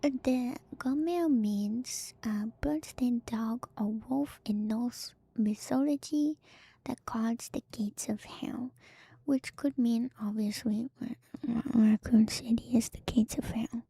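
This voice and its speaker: A woman giving whispered ASMR commentary as a streamer, in a soft, breathy, hushed and musing way, close to the microphone. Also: a little high, slow pace, dry recording. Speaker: streamer, host of a lore breakdown.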